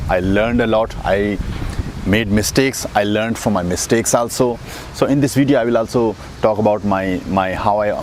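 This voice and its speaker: Indian man vlogging outdoors, low voice. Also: darkish tone. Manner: rambling, many fillers and restarts. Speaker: dating coach vlogger. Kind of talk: casual vlog monologue. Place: outdoors in a windy city. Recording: faint street and traffic noise outdoors.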